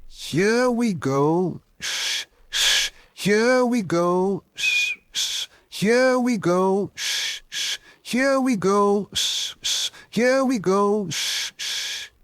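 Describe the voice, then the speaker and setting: A man's voice: sits high, big pitch swings, a little slow, darkish tone, dry recording, voice pushed up, full character voice. A man voicing a cheerful chugging steam engine. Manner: chugging with puffing shh sounds.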